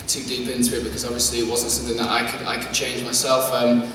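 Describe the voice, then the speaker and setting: A young man with a Welsh accent, a singer answering press conference questions in a quick, upbeat and earnest way. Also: mid-range voice, completely flat delivery, a bit bright, a little hiss or hum. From Wales. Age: young adult. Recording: echoey hall, far from the mic.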